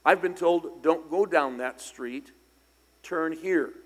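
A middle-aged man preaching a sermon in church, slightly hoarse and raspy from singing, conversational with loud bursts of emphasis. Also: on the high side, slow. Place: church sanctuary. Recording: long hall reverb in church.

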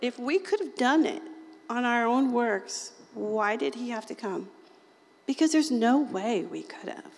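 Raspy woman preacher, folksy and chatty, talking to a small group in a hall with a long echo. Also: fairly high pitch, lively intonation, measured pace. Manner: chatty, folksy, often laughs and acts out quoted voices. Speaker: lay preacher. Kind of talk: informal sermon to a small group.

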